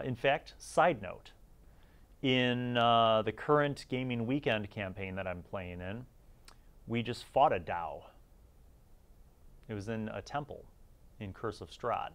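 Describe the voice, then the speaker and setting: A middle-aged man giving casual, opinionated review commentary in an informal, conversational way as the host of a series. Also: fairly low pitch.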